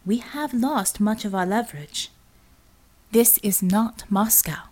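A woman's voice: medium pitch, slow, warm tone. A woman voicing an elegant, stern woman doctor in a storybook, with a precise, scolding, restrained delivery. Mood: gently scolding.

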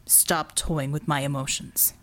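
Woman as an anxious, formal businessman, pitched low. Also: level tone, unhurried, fairly bright tone. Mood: indignant. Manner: formal, fretful, hesitant. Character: anxious, deferential Indian businessman, stiff and easily offended.

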